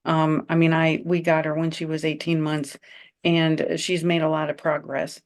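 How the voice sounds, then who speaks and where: A woman's voice: low voice, completely flat delivery, normal speed. A woman co-hosting a podcast, a mother speaking in an earnest, heartfelt way. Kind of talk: candid conversational chat.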